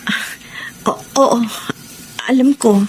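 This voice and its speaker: A woman's voice: high voice, slow pace, a little hiss or hum. A woman playing a troubled young bride-to-be in a radio drama, with a Filipino accent and an emotive, melodramatic delivery. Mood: distracted, uneasy. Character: troubled young bride-to-be confessing a fateful temptation. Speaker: lead actress and letter narrator. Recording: music bed behind voice.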